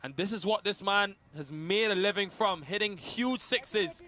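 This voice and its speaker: A man's voice: high-pitched, a little slow, pushed and bright. A man calling a cricket match as play-by-play commentator, rising with the big hits.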